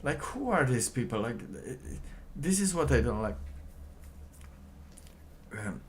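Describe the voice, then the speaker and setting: A man giving casual livestream commentary and reading documents aloud, halting and rambling, often pausing mid-sentence. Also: medium pitch, big pitch swings, slight background noise. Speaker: livestream host.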